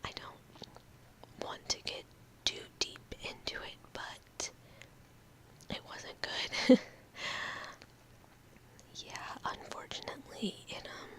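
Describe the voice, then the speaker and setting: Slow whispering young woman. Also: poor audio. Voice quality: soft whisper, slightly odd after a cold. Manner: slow, halting, talks with fillers and small laughs. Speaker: ASMR video creator. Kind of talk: ASMR whispered rambling. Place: her room at home.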